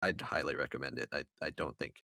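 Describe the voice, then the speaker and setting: A man's voice: very deep voice, fast talker, gravelly, sharp tone, no echo, clean studio sound. A man co-hosting a review podcast, speaking in a casual conversational style. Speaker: podcast co-host reviewing books.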